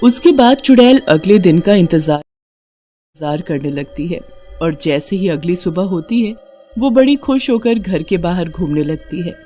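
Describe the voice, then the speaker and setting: Cartoon horror story narrator, scripted read, mid pitch, music behind. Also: lively intonation, a little slow, warm tone.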